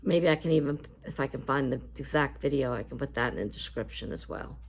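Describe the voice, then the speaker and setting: A woman with a scratchy voice talking casually to her viewers in a vlog, halting and full of fillers. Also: a bass voice, vocal fry. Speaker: YouTuber. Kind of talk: casual talk to camera.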